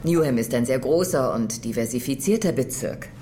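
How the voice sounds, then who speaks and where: An exceptionally low-pitched female official, questioning someone in an audio drama. She is polite and formal but halting and unsure. There is clear background noise around her. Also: lively intonation, mellow. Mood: polite, flustered. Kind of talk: audio drama acting, interrogation scene.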